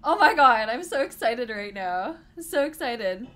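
Bright, excitable young woman streaming poker. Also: a little high, normal speed. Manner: excitable, giggly, talks straight to viewers. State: hoarse, losing her voice. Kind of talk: live-stream commentary to chat. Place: small room indoors at a desk.

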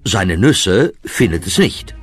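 A man's voice: low-pitched, big pitch swings, takes it slowly. A man narrating a scripted children's audio story, speaking directly to the listeners. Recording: music under the voice.

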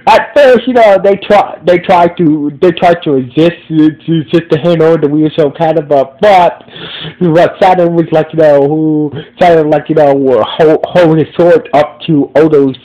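A man with a blocked, nasal voice rambles through a casual recap, circling and restarting his sentences. His voice sits a touch high and soft in tone. The recording sounds muffled and lo-fi. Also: measured pace. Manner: rambling, many restarts and "so basically". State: stuffy nose. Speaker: reviewer and podcast host. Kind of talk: casual spoken episode recap.

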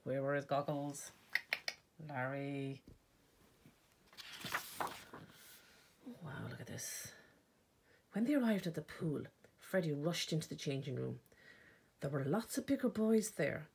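An Irish woman reading a children's picture book aloud expressively, with dramatic pauses and emphasis. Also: deep voice, expressive, creaky, darkish tone, a little hiss or hum. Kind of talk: storytime read-aloud narration.

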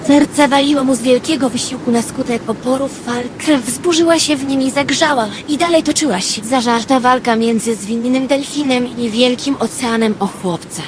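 A woman playing a wistful dreamer, narrating in an expressive literary storytelling manner for an audio drama. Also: high voice, normal speed, hardly any pauses, noisy background, lightly acted. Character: Lady Number Two, wistful female dreamer telling her dream.

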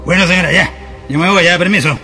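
A Chilean man playing a folksy, evasive caretaker in a radio drama, with colloquial, halting, repetitive speech. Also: high voice, bright, forceful tone. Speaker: actor. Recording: music behind the voice.

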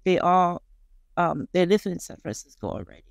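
Female podcast guest, forties, Malaysian Chinese accent, native Chinese speaker. Conversational, halting delivery, close and dry studio mic. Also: lowish voice, big pitch swings, gravelly, dry recording. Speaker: guest chef and culinary instructor. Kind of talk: casual podcast interview. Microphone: close studio microphone on boom arm.

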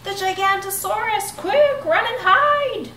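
Woman putting on a shrill boastful little dinosaur. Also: measured pace, slight background noise, heavily acted. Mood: alarmed shouting. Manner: shrill excited shouting. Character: boastful young male dinosaur prankster. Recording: echoey room, mic at a distance.

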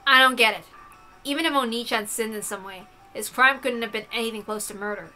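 A woman's voice: fairly high pitch, a little fast, bright, forceful tone, faint music behind. A woman voicing an earnest, troubled teenage girl in a visual novel, in an insistent, questioning manner. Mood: upset. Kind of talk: visual novel character reading.

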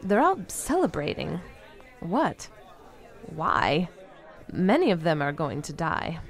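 An actress playing a concerned, sympathetic woman in an audio drama, gentle in manner. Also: deep voice, very animated, takes it slowly, exaggerated voice. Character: concerned, sympathetic female confidante.

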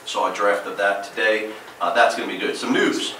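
Mayor with a New Jersey accent reporting at a council meeting, bright forceful voice, heard from across an echoey chamber. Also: male voice, fairly low pitch, fairly even intonation, moderate pace, distant mic, slight background noise. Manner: upbeat, listing events with frequent "uh" fillers. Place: large council chamber, indoors.

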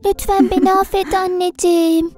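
A woman's voice: very high-pitched, little pitch movement, normal speed, darkish tone, faint music behind. A woman voicing a sweet, worried little girl, childlike and pleading, in a children's cartoon dub. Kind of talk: dubbed children's cartoon acting.

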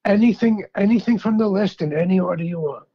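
A man in his sixties talks casually in a thick New York accent. His voice is gruff and husky, set a little high for a man. It comes through a dull, lo-fi phone line. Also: fairly quick. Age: 60s. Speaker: podcast guest, rock fan. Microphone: phone call.

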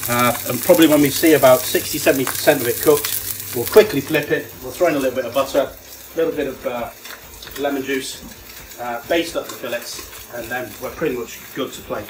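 A man's voice: medium pitch, brisk, rough recording. A middle-aged man, a chef, giving casual, plain-spoken step-by-step cooking instructions while busy at the stove in a home kitchen. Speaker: chef demonstrating a recipe. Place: small home kitchen, indoors. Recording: loud sizzling pan and kitchen noise. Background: frying, sizzling, stirring.

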